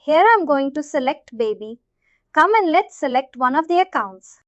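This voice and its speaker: A woman with a very high voice gives an informal, step-by-step tutorial as a trainer. She explains as she clicks along and often checks in with the listener. The sound is dry and close, with a dull, low-fidelity tone. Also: animated, moderate pace, no room sound. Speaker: software trainer. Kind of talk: informal screen-share tutorial narration. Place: voice-over of a screen recording.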